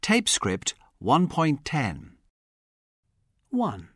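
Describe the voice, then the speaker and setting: A man announces track numbers for a language course in a formal, measured voice. He speaks very slowly, with his pitch moving widely. The studio is dry. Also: wildly swooping pitch, breathy edge, no echo. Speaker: course narrator.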